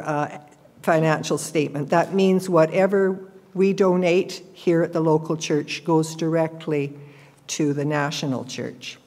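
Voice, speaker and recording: Woman, seventies, lowish voice, matter-of-fact reading of a report into a lectern mic, reverberant church. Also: darkish tone. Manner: plain, careful, matter-of-fact. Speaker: church member giving a report. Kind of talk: reading a prepared report aloud. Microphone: gooseneck lectern microphone.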